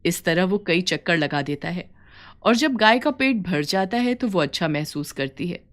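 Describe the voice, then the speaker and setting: A woman's voice: on the low side, expressive, normal speed. A woman narrator reading a scripted story.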